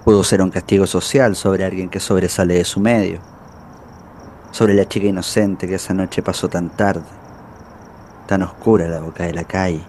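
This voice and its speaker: An actor playing a grave, indignant storyteller in a literary radio drama. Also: male voice, sits low, fairly even intonation, moderate pace, a little mellow, a little hiss or hum, close to an everyday voice. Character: grave, indignant male storyteller witnessing a crime. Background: music.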